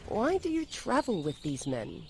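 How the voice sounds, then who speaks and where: A woman playing an ancient vampire noblewoman in a video game, composed, formal and aloof in her deliberate phrasing. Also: medium pitch, huge pitch swings, gravelly, warm tone. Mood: probing. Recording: music behind.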